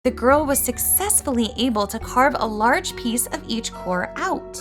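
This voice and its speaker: A woman voices a bookish fairy scholar with a high voice. She lectures earnestly, like someone obsessed with magical gems, in an excitable roleplay performance. Music plays behind her. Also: a little slow. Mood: storytelling, grand. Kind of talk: scripted roleplay voice acting with storytelling.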